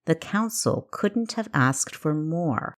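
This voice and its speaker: A woman's voice: low-pitched, lively intonation, slow pace. A woman reading scripted story narration as the narrator of an audiobook. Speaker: author narrating own audiobook.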